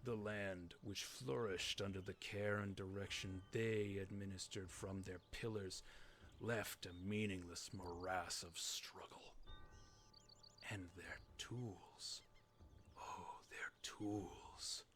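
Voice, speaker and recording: A man doing a dramatic reading as a grave, mournful fantasy storyteller, measured and solemn, for a video game. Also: deep voice, steady tone, slight background noise. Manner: solemn, measured, hushed at times.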